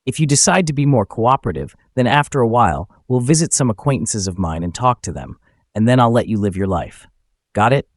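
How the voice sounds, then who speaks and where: A man's voice: mid-range voice, lively intonation, brisk, no room sound, close to an everyday voice. A man voicing a betrayed husband in a narrated story, falsely polite and coolly menacing, with a smug, controlled delivery. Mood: cool menace.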